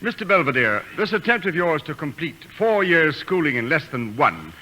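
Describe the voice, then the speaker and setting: An actor playing a grave male university president in an old radio drama, formal and measured, repeating words for emphasis. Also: a little high, sing-song, pushed and bright, slight background noise. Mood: authoritative. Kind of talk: 1940s radio drama acting. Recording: reverberant old radio recording with music.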